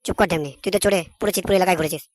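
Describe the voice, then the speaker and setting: A performer voicing a grumpy, foul-mouthed middle-aged father in a comedy cartoon, gruff, scolding and sarcastic. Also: mid-range voice, racing, no echo, close to an everyday voice. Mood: bickering.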